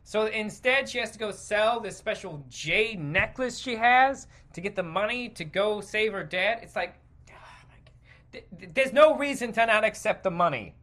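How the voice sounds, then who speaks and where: A man's voice: high voice, animated, moderate pace, pushed and bright. An American man hosting a podcast, ranting in an exasperated, foul-mouthed, emphatic stream of consciousness and often repeating himself. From United States. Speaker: podcast host. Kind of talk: stream-of-consciousness comedic rant.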